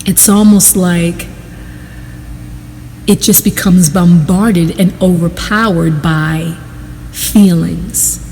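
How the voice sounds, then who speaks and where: Female meditation guide, soft mellow tone, lowish pitch, unhurried short phrases with pauses. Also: steady tone, in a large hall, a little hiss or hum. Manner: measured, deliberate, pausing between short phrases.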